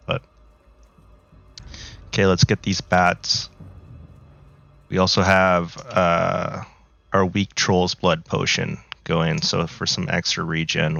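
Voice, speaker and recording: A man with a low, gravelly voice chats slowly and loosely while he plays, full of filler words. His voice sounds a little worn after being sick. The home recording is somewhat muffled. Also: no room sound. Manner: relaxed, rambling, many fillers. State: recovering from flu, voice a bit worn. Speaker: gaming video creator. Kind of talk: casual gameplay commentary. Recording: slightly dull, lo-fi audio.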